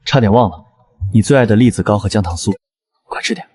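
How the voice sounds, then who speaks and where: Low, breathy, ailing young prince played by a man. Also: animated, quick pace, darkish tone. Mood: tender concern. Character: ailing young prince, gentle yet quietly scheming.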